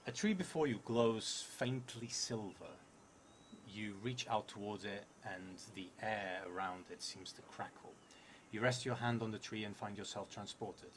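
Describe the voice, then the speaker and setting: A man's voice: fairly low pitch, measured pace, a little hiss or hum. A man in his thirties narrating a solo tabletop role-playing game on a stream, reading prompts aloud and musing to himself. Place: indoor streaming setup in a theatre venue.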